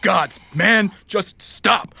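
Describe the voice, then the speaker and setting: A man's voice: high-pitched, very slow, vocal fry, sharp tone, husky, heavily acted. A man playing an exasperated, protective mercenary runner, urgent and pleading in a cyberpunk audio drama.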